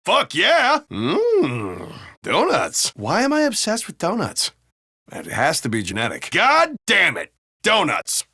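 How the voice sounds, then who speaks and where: An actor playing a foul-mouthed, sardonic and excitable American city cop in a video game. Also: male voice, sits high, takes it slowly, breathy edge, no echo, clean studio sound, heavily acted. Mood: giddy excitement. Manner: animated, sardonic, profane cop banter. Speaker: video game police officer. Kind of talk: game voice lines, banter and barks.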